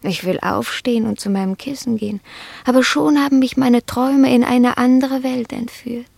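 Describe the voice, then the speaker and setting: An actress playing an indolent, fastidious pet cat who philosophizes in a languid, haughty radio-play manner, savoring the words. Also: female voice, a little high, fairly even intonation, creaky, darkish tone, slightly rough, no echo. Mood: dreamy, self-satisfied. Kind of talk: German radio play acting.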